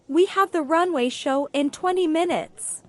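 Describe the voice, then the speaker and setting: A man's voice: very high voice, unhurried, pitched above the performer's own voice. A man voicing Greta, a nervous young woman fretting backstage before a show. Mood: anxious. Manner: nervous, fretful. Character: anxious young woman, contestant's friend.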